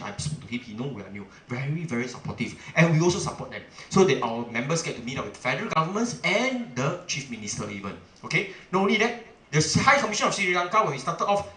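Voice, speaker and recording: A man's voice: a little high, sharp tone, echoey room, low-quality recording. A middle-aged man from Singapore with a Singaporean English accent, giving a seminar talk as a chamber president, emphatic and full of "okay" and "ah" fillers, often asking the audience questions.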